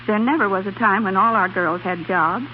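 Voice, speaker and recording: A woman's voice: mid-range voice, moderate pace. A middle-aged woman giving formal, orderly, scripted answers as a guest in a 1930s radio interview. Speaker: club director, radio guest. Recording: noisy, dull 1930s radio broadcast.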